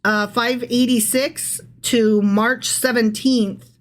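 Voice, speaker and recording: A woman's voice: on the high side, steady tone, slow. A woman co-hosting a podcast, reading scripture aloud and chatting casually, irreverent and sweary. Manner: irreverent, profane, self-interrupting. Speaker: podcast co-host.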